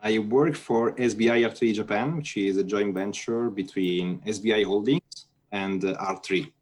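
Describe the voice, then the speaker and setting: A man from northern Italy, answering interview questions in a relaxed, hesitant way with fillers. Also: on the low side, normal speed. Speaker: guest, software architect.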